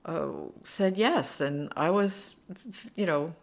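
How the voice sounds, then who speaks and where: A woman translator, speaking as an interview guest and telling animated, self-deprecating stories about learning languages, laughing easily. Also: on the low side, slow. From United States. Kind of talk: conversational storytelling.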